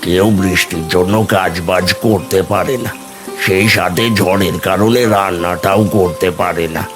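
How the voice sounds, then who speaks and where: Man as a low, weary old villager. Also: steady tone, a little slow, over music. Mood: resigned. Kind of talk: children's fairy tale cartoon dub.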